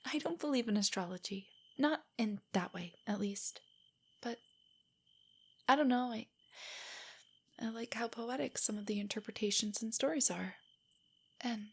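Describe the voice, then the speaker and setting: Breathy, nervous nerdy girlfriend, acted by a woman. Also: fairly high pitch. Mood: earnest, nerdy. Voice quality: soft, breathy, whispery. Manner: hesitant, rambling, earnestly explanatory. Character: nervous, nerdy, affectionate young girlfriend on a stargazing date. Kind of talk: intimate audio roleplay, spoken to the listener.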